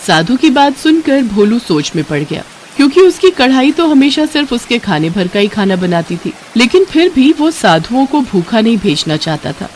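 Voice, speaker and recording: Expressive woman narrating a storybook. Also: fairly high pitch, moderate pace, slight background noise.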